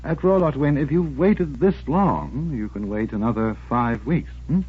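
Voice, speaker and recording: An actor playing a calm, authoritative psychoanalyst who questions in a measured, professional way, in 1940s radio drama style. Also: male voice, medium pitch, husky, natural voice. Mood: matter-of-fact. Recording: tinny, narrow old radio broadcast.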